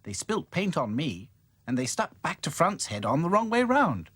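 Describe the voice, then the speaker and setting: A man voicing a bossy, cheerful girl doll in a storybook, explaining things briskly. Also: on the high side, big pitch swings, fairly bright tone, husky, no echo, clean studio sound, pitched above the performer's own voice, full character voice. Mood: eager.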